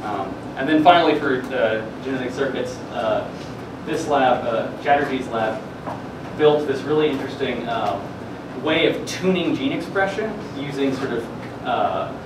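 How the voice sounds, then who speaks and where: A young man giving an informal science news presentation in a hesitant, halting way full of filler words. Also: lively intonation. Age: young adult. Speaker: presenter at a student science talk. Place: classroom or seminar room, indoors. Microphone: distant camera microphone. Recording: echoey room, distant mic, air-conditioning rumble.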